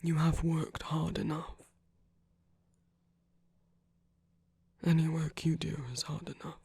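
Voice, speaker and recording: Man playing a husky, tender villain lover, half-whispered. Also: fairly high pitch, monotone, exaggerated voice. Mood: tender, reassuring. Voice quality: soft, breathy, often dropping to a whisper. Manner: slow, gentle, measured, with deliberate pauses. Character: tender, commanding male villain comforting his lover. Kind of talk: romantic ASMR roleplay audio.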